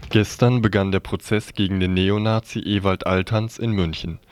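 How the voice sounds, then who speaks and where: A man's voice: sits low, steady tone, normal speed, over loud music. A man reading scripted radio news as a narrator of reports.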